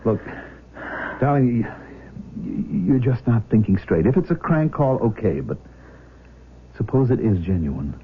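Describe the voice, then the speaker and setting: Earnest middle-aged father, animated pitch. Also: measured pace, pauses often, husky. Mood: reasoning, firm. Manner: earnest, firm. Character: protective, reasoning middle-aged adoptive father. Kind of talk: 1970s radio drama acting. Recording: dull, noisy 1970s radio recording.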